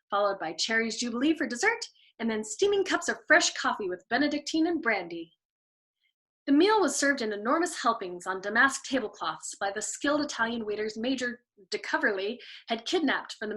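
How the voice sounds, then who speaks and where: A woman reading a novel aloud as a storyteller. Her voice is bright and fairly high, and she moves her pitch around a lot as she brings the text to life. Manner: expressive and animated.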